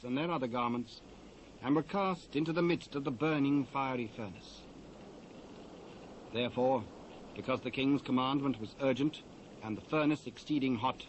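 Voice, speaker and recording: A man with a British accent narrating scripture in a measured, solemn, formal and dramatic way. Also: medium pitch, lo-fi sound. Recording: faint hiss, old cassette transfer.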